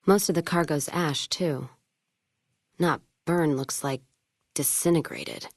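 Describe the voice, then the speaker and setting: Game role: hard-bitten, hard-drinking caravan woman, low register, blunt and wry, folksy, very dry close recording. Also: slow, no room sound, full character voice. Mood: somber, subdued. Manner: blunt, wry, folksy drawling turns of phrase. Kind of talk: video game voice acting, scripted dialogue.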